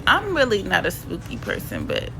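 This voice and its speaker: A woman's voice: fairly low pitch, wildly swooping pitch, normal speed, vocal fry, pushed and bright. A woman narrates her shopping vlog in a casual, playful, slangy way. Her voice sounds stuffy and sniffly from a cold. A faint background hiss sits under the home recording. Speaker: vlogger.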